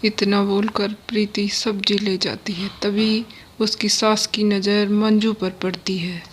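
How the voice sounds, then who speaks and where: A woman's voice: medium pitch, little pitch movement, measured pace, low-quality recording. Woman narrator with faint background noise. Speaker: storyteller. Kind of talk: scripted narration of a cartoon moral story.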